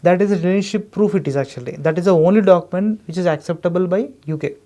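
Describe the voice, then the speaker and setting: A man with an Indian accent speaks very quickly in a soft, relaxed tone. He is a consultant guest on a podcast, explaining in a wordy, emphatic way and leaning on repeated filler words. His pitch sits a little high.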